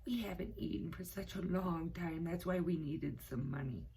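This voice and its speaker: Frightened storybook crook acted by a woman, pleading, raised pitch, slight background noise. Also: moderate pace, warm tone, lightly acted. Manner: pleading, fearful. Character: frightened pleading male burglar in a children's storybook.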